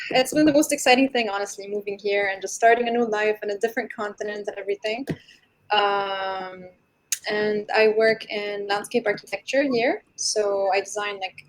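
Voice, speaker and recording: A woman's voice: mid-range voice, fairly even intonation, moderate pace, dry recording, poor audio. Young woman from Lebanon, dry sound. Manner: casual, with fillers. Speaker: podcast guest. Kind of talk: casual self-introduction. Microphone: video call.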